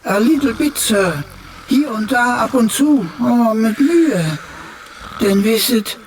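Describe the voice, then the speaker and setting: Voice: mid-range voice, expressive, slow, slight background noise. An actor playing a chatty, cheeky talking computer in a radio play, with flowery, mock-archaic, servile and theatrical speech.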